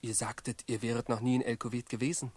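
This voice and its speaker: An actor playing a loyal, sober servant in a radio play, deferential and measured. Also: male voice, medium pitch, flat delivery, a little mellow, breathy edge, dry recording, lightly acted. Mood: concerned, reassuring. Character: loyal, sober male servant and travel companion. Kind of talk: German radio play acting.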